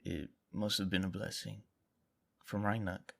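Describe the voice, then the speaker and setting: A man playing a stubborn, protective, troubled young guardsman in an audio drama, speaking in a halting, earnest way. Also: low voice, level tone, creaky, exaggerated voice. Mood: stunned concern. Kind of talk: dramatic audio fiction acting.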